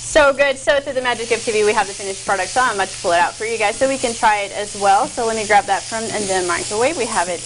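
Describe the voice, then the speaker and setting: A woman's voice: mid-range voice, fast talker, hardly any pauses, a little hiss or hum, poor audio. A young woman hosting a cooking segment on a TV studio kitchen set, bubbly and affirming. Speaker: TV show host. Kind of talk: morning show cooking segment.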